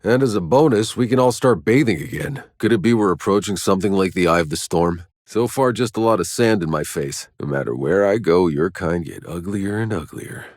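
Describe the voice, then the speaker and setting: A man playing a gruff, wry, battle-worn soldier in a sci-fi video game. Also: deep voice, lively intonation, a little fast, hardly any pauses, dry recording, clean studio sound. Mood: wry, upbeat. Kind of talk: video game voice lines, dramatic acting.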